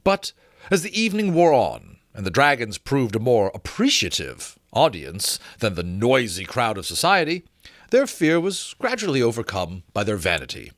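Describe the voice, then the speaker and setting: A man reading a novel aloud as an expressive, dramatic narrator. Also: medium pitch, normal speed, dry recording, clean studio sound. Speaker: audiobook reader.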